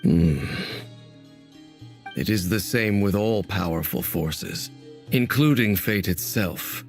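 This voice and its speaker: A man's voice: low voice, takes it slowly, soft background music. An actor voicing a grave, knowing man in a video game, speaking formally, courteously and with deliberation. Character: grave, knowing man, philosophical about fate. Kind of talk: scripted game voice acting.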